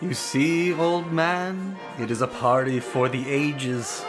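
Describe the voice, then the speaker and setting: A man's voice: a little high, steady tone, slow, over music. An actor playing a flamboyant, arrogant prince in an audio drama, grandiose and declamatory as he savors his words. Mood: gloating, delighted. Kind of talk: theatrical audio drama acting.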